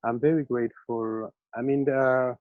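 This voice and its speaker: Guy from senegal talking about his paintings, lots of uhs, flat dull call sound. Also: medium pitch, a little slow, no room sound. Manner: hesitant, searching, many fillers. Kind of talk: casual artist talk on video call. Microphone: video call. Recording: dull, dry video call audio.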